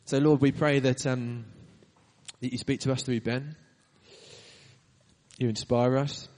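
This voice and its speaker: A man's voice: mid-range voice, fairly even intonation, measured pace, a little mellow. A man introducing the speaker and then leading an earnest spoken prayer in church. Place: church hall. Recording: reverberant church hall.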